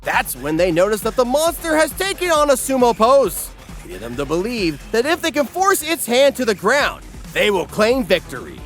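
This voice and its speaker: A man's voice: very high voice, fairly bright tone. A male narrator delivers a comedic scripted recap with big swoops in intonation. He is wry and exaggerated in a mock-dramatic way, over a music bed. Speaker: narrator of an anime parody recap. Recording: music behind the voice.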